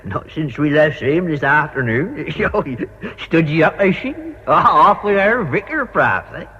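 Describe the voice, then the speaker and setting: An actor playing a boozy, resentful English cathedral guide in a radio drama, colloquial and blustering, with a husky voice. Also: male voice, on the high side, big pitch swings, measured pace, sharp tone, full character voice. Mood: wheedling, grumbling. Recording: muffled radio drama, reverberant hall.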